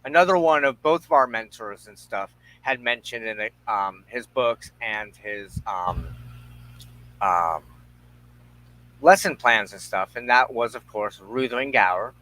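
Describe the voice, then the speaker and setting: A man hosting a reflective spiritual discussion, talking in halting, deliberate short phrases. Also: mid-range voice, sharp tone, rough recording. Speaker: host of a spiritual discussion show.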